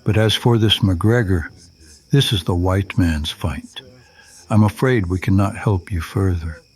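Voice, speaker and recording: An actor playing a dignified, solemn chief in an old-time Western radio drama, speaking in a measured, formal manner. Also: male voice, low voice, slightly rough. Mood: solemn, grateful.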